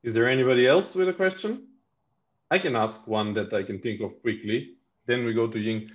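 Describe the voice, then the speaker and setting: A man moderating the question round on a call. He runs the session in a friendly, brisk way. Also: medium pitch. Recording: dull lo-fi call audio.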